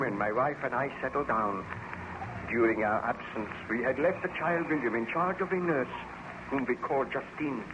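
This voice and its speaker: Actor as fervent, haunted baron, old crackly radio. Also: male voice, medium pitch, close to an everyday voice. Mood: reminiscent. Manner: formal, theatrical, fervent delivery. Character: anguished baron scientist, married man haunted by his monstrous creation. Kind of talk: 1930s radio drama acting. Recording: muffled, noisy old-time radio recording.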